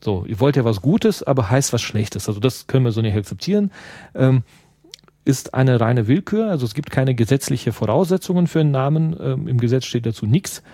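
Earnest foreign-born man, mellow and dry. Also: medium pitch, animated, a little fast, no echo. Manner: earnest, searching for words in a second language. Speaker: interview guest, party activist. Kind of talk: explanatory conversational interview.

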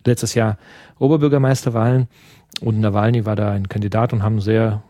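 A man's voice: on the low side, fairly even intonation, a little fast, warm tone, no echo, clean studio sound. A man from Russia giving an interview in a second language, explaining earnestly and searching for words as he goes. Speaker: interview guest, party activist.

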